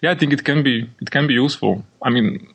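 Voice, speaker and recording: A young man from Slovakia with a Slovak accent, a podcast guest answering questions in halting English and searching for words. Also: mid-range voice, fairly bright tone, husky. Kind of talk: podcast interview.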